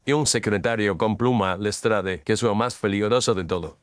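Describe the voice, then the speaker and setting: A man's voice: lowish voice, normal speed, clean tone, no echo, lightly acted. A man playing a cool, exacting detective who speaks in precise, commanding pronouncements. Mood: measured. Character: cool, exacting male detective, middle-aged. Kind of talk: radio drama acting.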